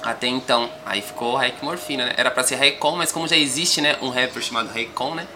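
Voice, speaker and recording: A young man with a São Paulo accent, a rapper guest chatting calmly in a podcast interview, rambling with frequent tag questions. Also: mid-range voice, fast, noisy background. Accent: São Paulo Portuguese. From Brazil.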